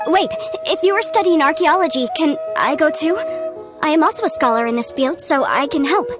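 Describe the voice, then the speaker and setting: A woman's voice: sits high, expressive, brisk. A woman playing an earnest scholar of archaeology in a video game, speaking courteously and carefully. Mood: earnest, eager. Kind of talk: scripted video game dialogue. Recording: music under the voice.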